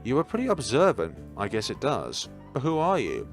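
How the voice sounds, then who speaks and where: A deadpan, curious teenage schoolgirl from a visual novel, acted out by a man. She is blunt and given to rambling musings, with a lively pitch over faint music. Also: normal speed.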